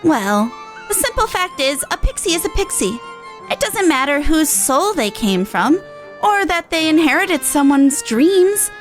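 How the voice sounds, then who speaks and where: Little game pixie, very high voice, lively swooping pitch, whimsical, sentimental, chatty. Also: female voice, a little slow. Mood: earnest, musing. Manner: whimsical, chatty, musing aloud. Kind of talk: acted reading of video game dialogue. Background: game music.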